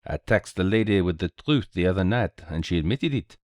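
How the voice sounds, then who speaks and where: A dapper little detective played by a man reading aloud in a storybook mystery. He speaks, courteous and theatrical, his pitch swinging freely. He sounds cheerful and very sure of himself, in a dry, close sound. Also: expressive, brisk, a little mellow, no room sound. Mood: knowing, animated. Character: small Belgian male detective, cheerful, courteous, self-assured.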